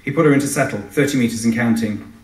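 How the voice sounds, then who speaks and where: A man's voice: mid-range voice, quick pace, darkish tone. Man reading aloud, echoey hall. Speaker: author. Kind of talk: live reading from a novel. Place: live event in a large venue. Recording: echoey hall, distant microphone.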